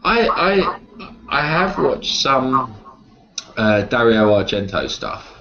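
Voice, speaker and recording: A man chatting casually as an interview guest about what he likes, halting and repeating words as he goes. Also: medium pitch, sing-song, vocal fry, sharp tone, highs cut off. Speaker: interview guest, horror writer and publisher. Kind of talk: casual conversation about horror films.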